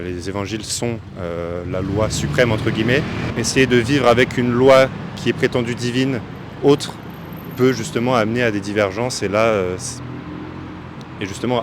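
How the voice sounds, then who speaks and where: A young man with a low voice answers on a busy street and works through his point step by step, pausing and hesitating often. Clear traffic noise runs underneath. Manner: measured, reasoning step by step, many hesitations. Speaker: passer-by interviewee. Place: busy city square outdoors.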